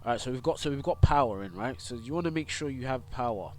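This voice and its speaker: A man's voice: medium pitch, lively intonation, fast talker, a little mellow, a little hiss or hum. A young man with a cold, congested, casually walking through a game tutorial full of fillers and restarts. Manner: rambling, many fillers and restarts. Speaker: gaming YouTuber and streamer.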